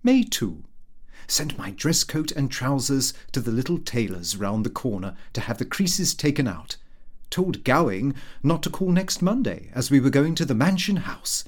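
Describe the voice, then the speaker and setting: A man reads a comic Victorian diary aloud as an audiobook. His mid-pitched voice moves around a great deal, with a prim, self-important air. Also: very animated, moderate pace. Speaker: audiobook narrator. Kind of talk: expressive scripted narration of a comic Victorian diary.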